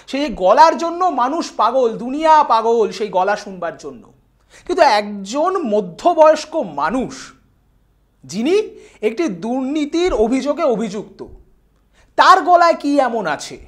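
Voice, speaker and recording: A woman presenting news commentary in a theatrical, sarcastic, rhetorical manner. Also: high voice. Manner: theatrical, rhetorical, sarcastic emphasis with repeated questions. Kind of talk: scripted news commentary for an online channel.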